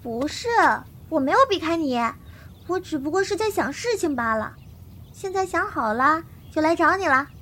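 A woman's voice: very high voice, lively intonation, normal speed, fairly bright tone. A woman playing a naive, blunt and devoted young martial arts girl raised by wolves, speaking simply and earnestly in a Chinese period audio drama. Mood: pensive, earnest. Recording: voice over prominent background music.

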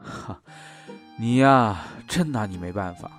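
An actor playing a gentle, indulgent and protective police boyfriend in an audio drama. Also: male voice, fairly low pitch, expressive, slow pace, faint music behind. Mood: tender, concerned.

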